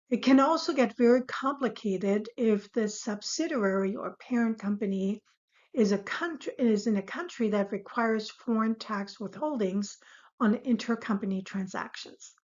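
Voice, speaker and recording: A woman instructor giving a scripted, explanatory lesson in a measured, formal way. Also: mid-range voice, darkish tone. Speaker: accounting instructor. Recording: dull, lo-fi voice recording.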